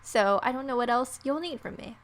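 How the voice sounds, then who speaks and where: A woman's voice: high voice, a little fast. A woman hosting a gaming video, reading a visual novel's story aloud with playful asides.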